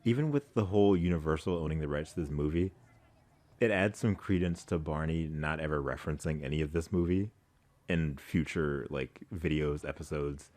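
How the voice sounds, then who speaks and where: A man's voice: very deep voice, normal speed, darkish tone. A man giving casual, wry commentary as the host of a movie reaction video.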